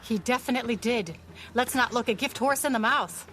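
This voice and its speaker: A woman playing a shrewd, defiant amateur sleuth in an audio drama, brisk and skeptical. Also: fairly high pitch, background noise, close to an everyday voice. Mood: urgent, wary.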